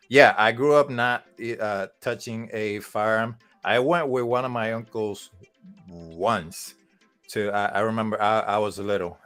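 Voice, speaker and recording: Low-voiced rambling man from Puerto Rico. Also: a bit bright, smooth. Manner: rambling, many fillers and restarts. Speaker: podcast guest. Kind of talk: casual storytelling.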